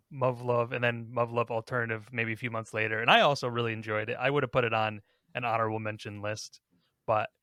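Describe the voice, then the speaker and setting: Male podcast host, casual discussion, crisp bright edge, very dry sound. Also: medium pitch, no echo.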